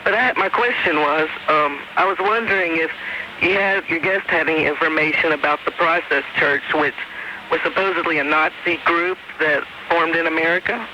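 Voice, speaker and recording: Male phone-in caller, higher-than-usual voice, casual and hesitant, dull noisy broadcast. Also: moderate pace. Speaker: caller to a radio show. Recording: muffled, noisy phone call over shortwave radio.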